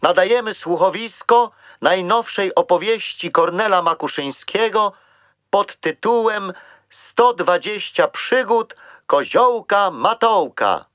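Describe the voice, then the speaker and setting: High, animated, courtly gentleman. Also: slow, dry recording, clean studio sound, exaggerated voice. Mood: announcing. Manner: courtly, declamatory. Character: gallant, theatrical man of prewar Poland. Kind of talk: children's radio play acting. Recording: music behind.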